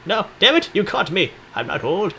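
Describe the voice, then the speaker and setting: A man's voice: high voice, sing-song, normal speed, gravelly, sharp tone, a little hiss or hum, pitched above the performer's own voice, heavily acted. A man voicing a grumpy, stern old village elder, scolding and dismissive in a loose parody. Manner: mocking, scolding, loosely acted.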